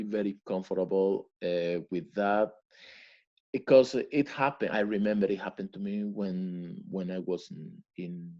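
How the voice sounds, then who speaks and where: A man from Venezuela whose first language is Spanish, a guest in a casual interview who speaks halting English and often repeats words. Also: fairly low pitch, mellow, dull audio.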